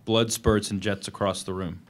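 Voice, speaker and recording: A man's voice: on the low side, flat delivery, moderate pace. A young man giving a dramatic stage reading as a novel's first-person narrator. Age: young adult. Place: on stage at a live reading event. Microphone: microphone on a stand.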